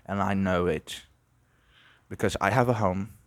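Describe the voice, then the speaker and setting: A young man from Brazil hosting an unscripted podcast, musing and halting, with many restarts. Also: low voice, steady tone, no echo. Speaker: podcast host. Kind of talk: unscripted reflective monologue.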